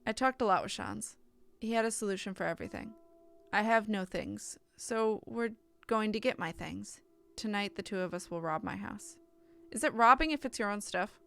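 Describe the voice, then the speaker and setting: A woman's voice: mid-range voice, normal speed, creaky, a bit bright, soft background music. A woman streaming a visual novel, reading the text aloud with casual asides and often stumbling and correcting herself.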